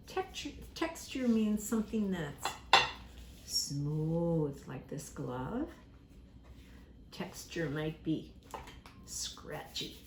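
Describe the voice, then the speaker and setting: Animated woman teaching young children, echoey room. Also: low-pitched. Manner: slow, deliberate, repeating key words; animated, acts out examples with props. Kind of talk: simple demonstrative lesson for young children. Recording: echoey room with faint background noise.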